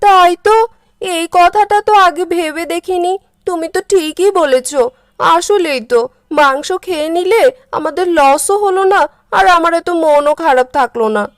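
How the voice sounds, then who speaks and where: A woman plays a foolish village wife from a cartoon. Her voice is high and whiny, and she is given to melodramatic wailing and scolding. The sound is close and dry. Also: moderate pace, no echo. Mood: pleased, naive. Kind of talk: cartoon character dialogue.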